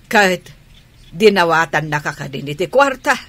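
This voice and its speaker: A woman playing an anxious, dismayed mother in an Ilocano radio drama, husky and lamenting. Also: lowish voice, animated, moderate pace, fairly bright tone, dry recording. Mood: shocked, scolding. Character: anxious, dismayed mother fretting over her child.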